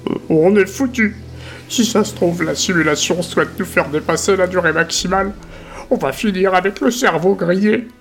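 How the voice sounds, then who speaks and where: Panicked young soldier, high, shaky, stammering, tearful; a male narrator puts him on. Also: moderate pace, creaky, soft background music.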